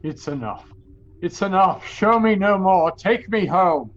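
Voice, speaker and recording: Anguished old miser, swinging wildly. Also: male voice, high voice, animated, a little slow, dull audio. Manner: pleading, breaking into whispers and cries. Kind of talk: theatrical audio drama acting.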